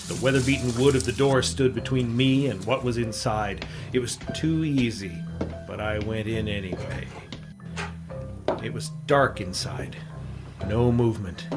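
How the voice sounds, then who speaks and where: An actor playing a terse, wry adventurer and reporter in an old-time radio noir drama, clipped and deadpan. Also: male voice, medium pitch, lightly acted. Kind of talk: old-time radio noir acting and first-person narration.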